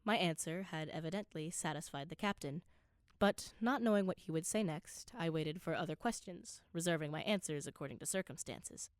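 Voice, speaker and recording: A woman's voice: low-pitched, moderate pace, no echo, clean studio sound, lightly acted. A woman playing an earnest scholar who narrates an undersea voyage in a radio play, with precise, literary, dramatic delivery. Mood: measured, puzzled.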